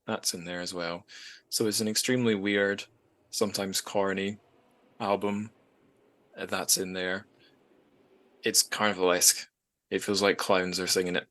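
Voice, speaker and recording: A man with a fairly low voice hosts a podcast. He talks casually and informally, with the odd swear word, as in a relaxed discussion among acquaintances. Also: moderate pace, pauses often. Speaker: podcast host. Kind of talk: casual chat.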